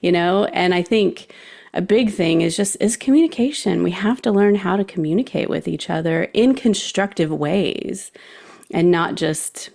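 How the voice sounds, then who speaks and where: Lowish woman w lots of vocal fry, casual podcast chat, rambly, acts out little bits of dialogue. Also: normal speed, darkish tone. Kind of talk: casual conversational advice.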